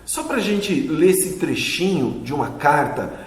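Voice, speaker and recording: A man's voice: a little high, animated, a little slow. A man from Brazil with a Brazilian Portuguese accent, teaching a casual video lesson in a chatty way that keeps checking in with the class. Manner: chatty, asks questions back, frequent "né?" tags. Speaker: history teacher. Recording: echoey room with long reverb.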